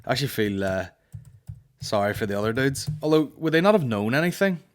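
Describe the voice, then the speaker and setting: A man's voice: mid-range voice, very animated, fairly quick. A man in his early thirties with a Northern Irish accent, hosting a comedy podcast with blunt, sweary casual chat into a close studio mic. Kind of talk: casual comic chat, answering listener questions. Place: small indoor podcast studio. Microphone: close studio microphone on boom arm.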